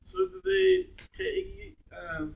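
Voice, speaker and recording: A man's voice: extremely high pitch, big pitch swings, very slow, far from the mic, rough recording. A man in the audience at a convention panel, asking questions and jumping in with goofy jokes. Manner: goofy, jumps in with silly bits. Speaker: fan in audience.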